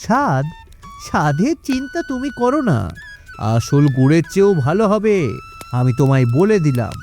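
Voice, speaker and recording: A man acts out a cocky village trickster in a cartoon. His fairly high voice swings up and down as he boasts, wheedles and brushes off any objection, always scheming for easy money. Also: sing-song, normal speed, a little mellow, faint music behind. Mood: boastful mockery. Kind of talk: animated cartoon dialogue.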